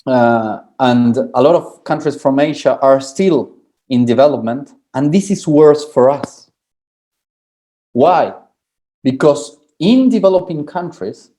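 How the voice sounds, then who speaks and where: Slow, halting speaker. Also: mid-range voice, warm tone. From Argentina. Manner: halting, restarts and repeats words; explains with examples. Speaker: forum speaker. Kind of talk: academic presentation.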